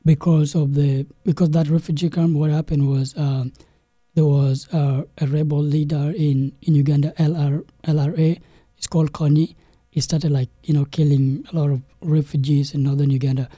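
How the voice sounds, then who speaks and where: Brief: young South Sudanese-born man, dark husky voice, halting, flat delivery, dry close mic. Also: on the high side, dry recording. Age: young adult. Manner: halting, many restarts and "you know". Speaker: guest, game developer. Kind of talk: interview storytelling.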